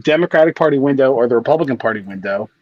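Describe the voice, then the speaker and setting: A man's voice: mid-range voice, expressive, rapid-fire, dry recording, muffled recording. A man in his thirties calling in, arguing politics with pointed rhetorical questions. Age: 30s. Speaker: caller. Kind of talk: political argument on a call-in.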